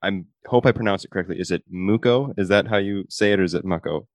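A man's voice: low-pitched, fast talker, dry recording, clean studio sound. A man hosting a podcast, asking his guest friendly, encouraging questions. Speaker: podcast host. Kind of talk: podcast interview.

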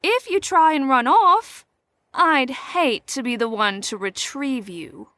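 Older lady chaperone, audio drama, female actor: high-pitched, very lively intonation, formal and sweet with an edge of menace. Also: takes it slowly, dry recording, full character voice. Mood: polite, firm. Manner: theatrical, genteel, formal. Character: genteel older woman chaperone, sweet but faintly menacing.

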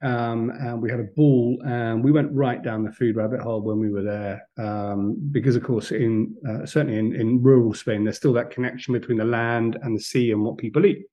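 A man's voice: medium pitch, fairly even intonation, normal speed, hardly any pauses, warm tone, lo-fi sound. A middle-aged man, a podcast guest telling stories in a chatty way with many ums and restarts. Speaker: podcast guest, food business founder. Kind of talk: casual interview chat.

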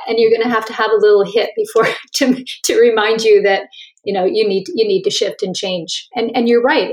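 Woman coach chatting in an interview, thoughtful, kinda repeats herself. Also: medium pitch. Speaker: author and coach, interview guest. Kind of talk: conversational interview.

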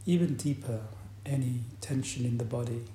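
A man leading a guided meditation as a teacher, speaking in a calm, deliberate manner with long pauses. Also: mid-range voice. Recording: roomy echo, faint background noise.

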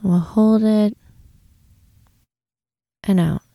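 A woman leading a guided meditation in a gentle, unhurried manner, with breathy, hushed moments. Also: medium pitch, steady tone, no room sound, studio quality. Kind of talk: guided meditation, soothing instruction.

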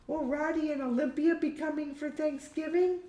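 Woman acting out a young grown-up daughter, bright, testing, high pitch, audiobook character, roomy echo. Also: fairly even intonation, moderate pace, close to an everyday voice. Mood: casual, eager.

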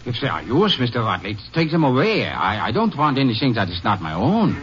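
An actor playing a stubborn, anxious German immigrant farmer, protesting in halting English with a German accent in a radio drama. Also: male voice, medium pitch, wildly swooping pitch, fairly bright tone. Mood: bewildered. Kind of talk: 1950s radio drama acting. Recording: dull, hissy vintage radio recording.

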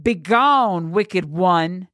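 A woman's voice: mid-range voice, very animated, dry recording, clean studio sound, voice pushed up. A woman voicing a terrified old priest. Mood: panicked shouting. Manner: frantic shouting, pleading, slow.

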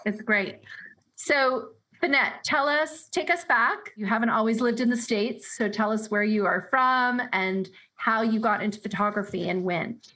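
A woman's voice: fairly high pitch, measured pace, sharp tone. A woman hosting a podcast interview, upbeat and encouraging as she introduces and questions her guest. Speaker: podcast host.

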